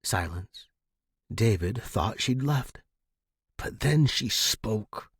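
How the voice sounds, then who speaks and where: A man's voice: fairly low pitch, lively intonation, very slow, fairly bright tone, husky, dry recording, clean studio sound. A man narrating scripted fiction dramatically as a podcast host and storyteller.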